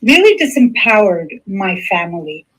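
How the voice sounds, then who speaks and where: Middle-aged lady from the dominican republic, husky, reflective interview guest. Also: mid-range voice, sing-song, moderate pace, fairly bright tone, low-quality recording. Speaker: guest, psychotherapist. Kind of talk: interview answers.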